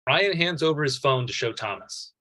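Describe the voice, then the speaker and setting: A man's voice: on the high side, lively intonation, normal speed, pushed and bright. A man giving scripted narration, reading out stage directions at a table read.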